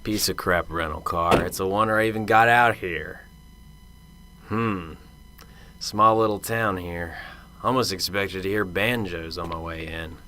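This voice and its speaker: A man acting in a radio drama as a cynical, sarcastic, upper middle-aged police detective from Chicago, gruff and curt. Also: low voice, a little slow, bright, forceful tone, slight background noise. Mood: sardonic, unimpressed.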